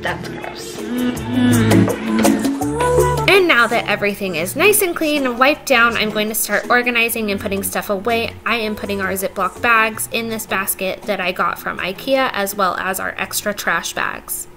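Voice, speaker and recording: A woman's voice: medium pitch, moderate pace. A young woman narrating her own home cleaning video in a chatty, upbeat voice-over with some self-mocking jokes. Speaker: vlogger. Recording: voice-over with loud background music.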